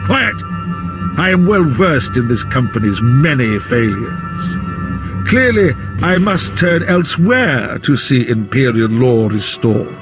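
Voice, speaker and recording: An actor playing a pompous, contemptuous Imperial military officer in a video game cutscene. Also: male voice, medium pitch, very animated, slow. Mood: stern, commanding. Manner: pompous, disdainful. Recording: orchestral game music behind. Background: game score.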